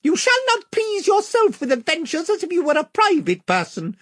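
A shrill, courtly mouse knight. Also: huge pitch swings, moderate pace, a bit bright, no room sound, studio quality, full character voice. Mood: solemn, dutiful. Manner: formal, solemnly polite.

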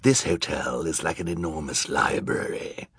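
A man's voice: extremely low pitch, moderate pace, fairly bright tone, breathy edge, no room sound, clean studio sound, exaggerated voice. A man voicing a scheming, menacing villain count in an audiobook, gloating and theatrical. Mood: commanding. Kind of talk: audiobook character acting.

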